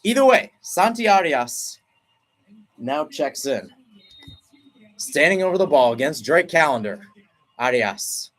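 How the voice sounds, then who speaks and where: A young man doing excited play-by-play commentary of a soccer penalty shootout, with his pitch and volume leaping at each kick. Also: a little slow, pauses often. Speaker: radio play-by-play announcer. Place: at home, not at the stadium.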